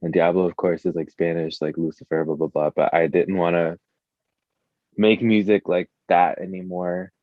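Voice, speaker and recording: A man's voice: deep voice, level tone, normal speed, no echo, lo-fi sound. A man chatting casually as an interview guest, a musician with an informal, slangy, hesitant way of talking, saying "like" constantly. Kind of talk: casual chat.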